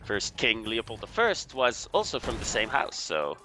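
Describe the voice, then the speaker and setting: A Belgian man giving casual, halting history commentary over a video game, explaining things hesitantly. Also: fairly low pitch, wildly swooping pitch, music in the background. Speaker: guest commentator.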